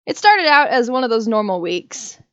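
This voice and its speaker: A woman's voice: a little high, big pitch swings, moderate pace, smooth, no echo, lo-fi sound. A woman talking casually, like someone chatting with friends during a game or story night. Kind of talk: casual chat among friends while gaming, reading her own story.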